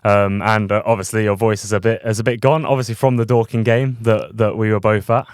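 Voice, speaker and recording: Smooth-voiced young podcast host. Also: male voice, lowish voice, fairly even intonation, fairly quick, dry recording. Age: young adult. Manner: chatty, many fillers and restarts. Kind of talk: casual podcast chat.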